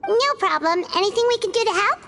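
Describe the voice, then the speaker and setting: A woman voicing a small, chatty, childlike fairy girl companion in a video game, with a squeaky voice and a cheerful temper. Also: expressive, quick pace, pushed and bright, faint music behind, full character voice. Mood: curious, helpful.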